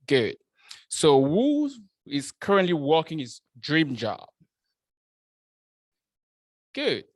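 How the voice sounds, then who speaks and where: A man from Cameroon, speaking a little higher than most men, gives a prepared speech to a club audience. He often repeats and restarts his words and pushes his emphasis upward. The recording is dry, with no room sound. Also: no echo.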